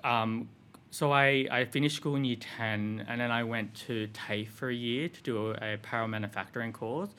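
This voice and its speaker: A man's voice: medium pitch, fairly even intonation, moderate pace, sharp tone, slight background noise. A man in his thirties with an Australian accent, talking casually as an interview guest and rambling through his answers with frequent "like" and "um". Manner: rambling, filler-heavy with frequent "like" and "um". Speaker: fashion designer guest.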